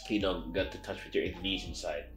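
A young man feeling his way through questions as host of a student podcast in a roomy space, low-voiced. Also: fairly even intonation, quick pace, a bit bright, faint music behind. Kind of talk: casual interview. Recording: roomy echo.

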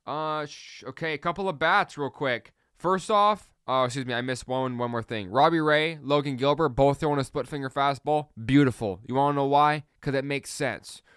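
Man, podcast host, quick and excitable, restarts often, smooth voice, close dry mic. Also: medium pitch, no room sound. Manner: fast, excitable, many restarts. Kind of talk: solo sports podcast talk.

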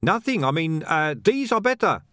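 Male reader as young male huckster, raised voice, animated swings, nervous sales patter, storybook character, close dry sound. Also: sits high, moderate pace, no echo, voice pushed up. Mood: flustered, nervous. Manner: salesman's patter, flustered stammering. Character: eager young male street pie seller, shifty, nervous huckster.

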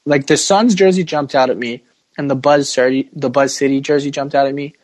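A man's voice: mid-range voice, fairly even intonation, fairly quick, a little mellow, dry recording. Opinionated male podcast host, dry close sound. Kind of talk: casual sports podcast chat.

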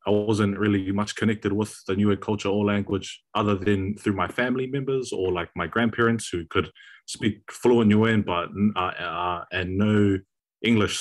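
A young man with a New Zealand accent and a fairly low voice talks as a podcast guest. He speaks hesitantly and reflectively, with plenty of ums and uhs. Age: young adult. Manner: hesitant, reflective, many fillers. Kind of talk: casual podcast chat.

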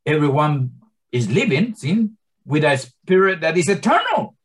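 A man's voice: a little high, very animated, measured pace. A man in his sixties giving an informal Bible teaching to a small group, speaking English as a second language with grammar slips and frequent stammered restarts. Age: 60s. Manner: impassioned, many stammered restarts.

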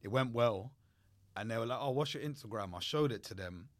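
A man's voice: mid-range voice, lively intonation, fairly quick. Young man, podcast host, blunt, slangy, laughs a lot. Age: 20s. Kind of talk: casual chat.

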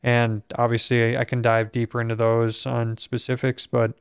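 A young man from Minnesota, hosting a casual conversation and speaking in a steady, reflective way, with a voice that is not very strong on breath. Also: medium pitch, normal speed, smooth, no room sound, clean studio sound. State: weak breath support. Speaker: podcast host.

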